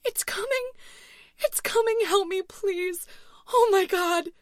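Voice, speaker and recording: A frantic young woman performed by a female narrator cries out in a high, trembling voice. She pleads in sheer terror, loud and shrill, as in a horror audiobook with a dry, close sound. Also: takes it slowly, no room sound. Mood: panicked. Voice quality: shaky, shrill when panicked. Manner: crying out, breathless pleading.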